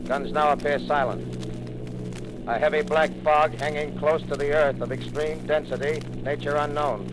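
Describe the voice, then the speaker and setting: A man's voice: fairly high pitch, flat delivery, normal speed, close to an everyday voice. An actor playing a male army lieutenant leading a bomber squadron, giving terse clipped radio reports in 1930s radio drama style. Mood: clipped, steady. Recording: crackly 1938 radio broadcast.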